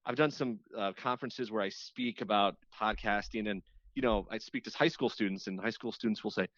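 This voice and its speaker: A man's voice: on the low side, fairly quick, fairly bright tone, dry recording. A man talking as an interview guest in a casual, reflective, chatty way, circling back and repeating words for emphasis. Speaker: radio host appearing as a podcast guest. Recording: slightly dull, lo-fi audio.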